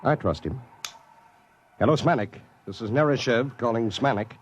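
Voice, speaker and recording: A man's voice: mid-range voice, normal speed, breathy edge, lightly acted. An actor playing an earnest, cautious crewman on a space expedition, in 1950s radio drama style. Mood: concerned. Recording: old radio recording, faint noise.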